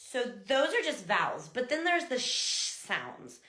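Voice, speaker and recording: A woman's voice: on the high side, bright, forceful tone, echoey room. A chatty American woman and language teacher, explaining things casually and exaggerating sounds to demonstrate them. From United States. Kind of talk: casual talking-head explainer.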